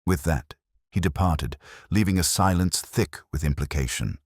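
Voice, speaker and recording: A man's voice: low-pitched, moderate pace, a little mellow, husky, no room sound, clean studio sound. A man reading a detective mystery as scripted first-person audiobook narration.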